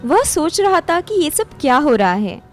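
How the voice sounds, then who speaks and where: A woman with a high voice narrates a scripted tale. She works as a storyteller, moving through plain narrative sentences, with faint music playing behind her. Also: very animated, a little slow.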